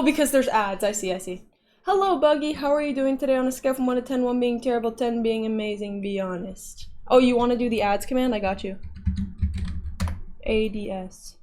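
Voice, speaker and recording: A woman's voice: fairly high pitch, normal speed, darkish tone, a little hiss or hum. Chatty, playful young-sounding woman streamer. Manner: chatty, playful, often laughing. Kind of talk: casual livestream chat reading viewer messages.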